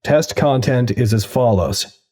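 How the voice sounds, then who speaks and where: An actor playing a detached narrator who reads clinical case logs in a formal, deadpan way. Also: male voice, medium pitch, measured pace. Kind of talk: scripted audio drama narration.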